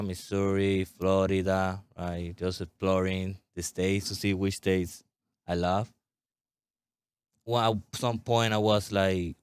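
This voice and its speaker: Deep-voiced eager army recruiter man. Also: smooth, no room sound. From Puerto Rico. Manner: eager, loose and conversational, tag questions like "right?". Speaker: army recruiter, interview guest. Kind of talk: casual interview.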